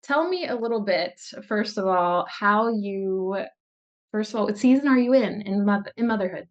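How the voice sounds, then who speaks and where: A woman's voice: mid-range voice, animated, normal speed, muffled recording. Upbeat woman host on a video call, gushy and chatty, lots of likes. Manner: bubbly, gushing, lots of likes. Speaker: podcast host. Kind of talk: casual interview hosting.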